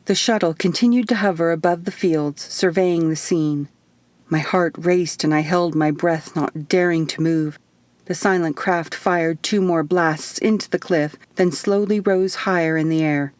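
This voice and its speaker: A woman's voice: fairly low pitch, a little slow, a little mellow, no room sound. A woman reading scripted first-person audiobook narration as a storyteller. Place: dry, quiet recording space.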